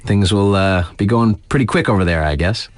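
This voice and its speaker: A man's voice: lowish voice, brisk, no echo, natural voice. A man playing a laid-back, sardonic young radio DJ in a video game, reading local news in a wry, slangy, conversational way. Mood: upbeat, sardonic.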